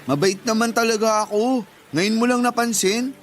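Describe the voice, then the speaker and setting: A man's voice: high voice, steady tone, normal speed, a little hiss or hum, close to an everyday voice. A man playing a cheeky, wheedling student younger brother in a radio drama, playful and cajoling. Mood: playful, flattering.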